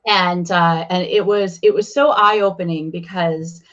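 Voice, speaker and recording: A woman hosting an interview, talking openly and confidingly, with halting restarts. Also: fairly low pitch, steady tone, fairly bright tone. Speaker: podcast host. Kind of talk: casual interview chat. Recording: slightly dull lo-fi sound.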